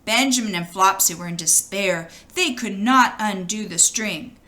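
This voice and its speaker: A woman reading a children's storybook aloud in an expressive, animated way, as if to young listeners. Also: mid-range voice, measured pace. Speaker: storybook reader.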